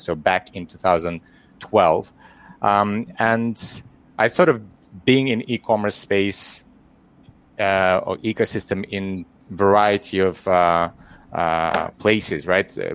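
Webinar guest: middle-aged man, Ukrainian accent, very low pitch, halting delivery. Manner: hesitant, many fillers and restarts. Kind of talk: webinar interview.

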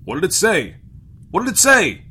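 A man's voice: medium pitch, lively intonation, brisk, a little hiss or hum, voice pushed up, close to an everyday voice. A blustering spaceship captain from a science fiction storybook, played by a man reading aloud. He is blunt and bullying, and his raised, pushed voice makes every line a demand. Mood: startled, demanding. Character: brash, gruff, bullying male spaceship captain.

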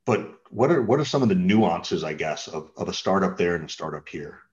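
A man's voice: low-pitched, lively intonation, fairly quick, a little mellow, muffled recording. A man hosting a podcast, asking curious, rambling questions. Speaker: podcast host. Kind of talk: casual podcast conversation.

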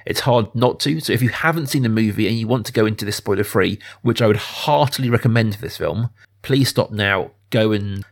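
Male podcast host, mid pitch, conversational film talk, searches for words and rephrases.

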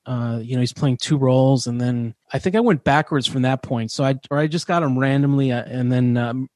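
A man's voice: mid-range voice, a little fast, darkish tone, no echo, clean studio sound. A man hosting a podcast, telling stories in a casual, chatty and enthusiastic way. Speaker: podcast host. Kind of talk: casual conversational interview.

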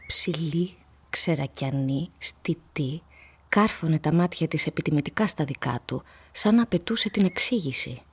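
Low-voiced woman narrating a radio play. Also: slightly rough. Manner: measured, dramatic storytelling.

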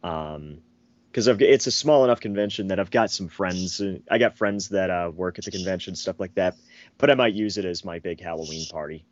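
Lowish hoarse guy on a podcast, rambly and halting, dry muffled audio. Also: no echo. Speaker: podcast co-host. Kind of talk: casual podcast chat. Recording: dull, dry, lo-fi voice track.

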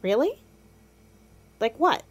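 Sardonic teenage girl, animated and high. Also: slow, a little hiss or hum. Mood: teasing. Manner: sardonic, easily exasperated teen talk. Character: teenage girl sleuth, sardonic, blunt, self-conscious niece. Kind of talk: naturalistic audio drama acting.

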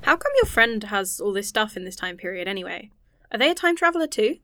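A woman's voice: mid-range voice, sing-song, brisk, sharp tone, no echo, clean studio sound. A woman acting in an audio drama as an earnest, anxious scientist who rambles self-consciously. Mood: curious.

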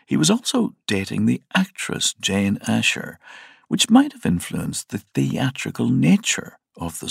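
Male podcast narrator, very creaky, husky low voice, scripted delivery. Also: no room sound, clean studio sound.

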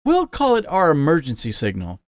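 A man voicing a gentle stallion boyfriend who speaks softly and reassuringly. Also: a little high, huge pitch swings, moderate pace, no room sound, clean studio sound, pitched above the performer's own voice. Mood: tender. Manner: soft, reassuring.

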